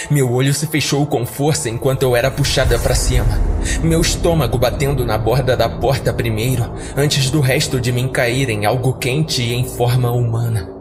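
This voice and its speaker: A man narrating a scripted horror story in a dramatic audiodrama style, as a podcast storyteller. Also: medium pitch.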